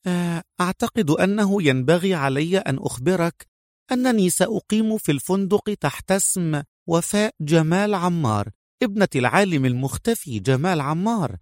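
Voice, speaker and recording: A man voicing a soft-spoken, proud young woman intelligence officer in an audiobook. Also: high-pitched, normal speed, dry recording, clean studio sound, pitched above the performer's own voice. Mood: calm. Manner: hushed, breathy whisper at times, calm. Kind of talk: acted audiobook dialogue.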